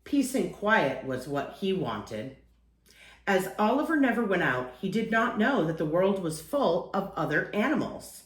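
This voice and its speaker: A woman's voice: medium pitch, sing-song, a little slow, echoey room. A woman reading a children's picture book aloud as a storyteller, acting out phrases and lingering on key words.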